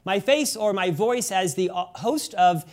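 A man's voice: high voice, expressive, a little slow. A man hosting an interview podcast, speaking in a polished, formal and wordy presenter style. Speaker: podcast host. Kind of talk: scripted podcast introduction and interview questions.

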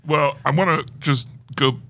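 A man's voice: a little high, measured pace, sharp tone, slight background noise. A man co-hosting a podcast, chatting casually and wryly about movie news. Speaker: podcast co-host.

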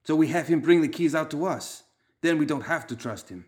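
A man voicing a gruff, suspicious gang leader with a hard Balkan accent and a clipped, growling delivery. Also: a little high, a little fast, darkish tone, voice pushed up, lightly acted. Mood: wary, mocking.

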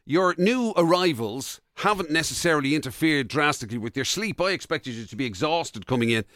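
A man's voice: on the high side, animated, a little fast, fairly bright tone, no echo, clean studio sound. An Irish man co-hosting a radio show in casual, conversational style.

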